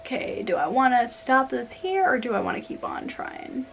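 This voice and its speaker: A woman reads fairy tales aloud in a bright, forward voice that sits fairly high. She goes slowly and haltingly, slipping in wry, casual remarks. There is noticeable noise behind her. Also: sing-song, gravelly. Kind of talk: storybook reading with casual asides.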